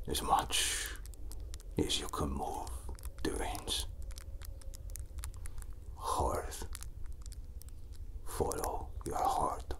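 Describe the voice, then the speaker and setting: Casting: enigmatic old Norse rune caster played by a man, put-on Nordic accent, breathy whisper, extremely slow and cryptic. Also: rumbling low, heavily acted. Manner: cryptic, solemn, halting delivery with long pauses. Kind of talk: whispered ASMR roleplay, fortune telling.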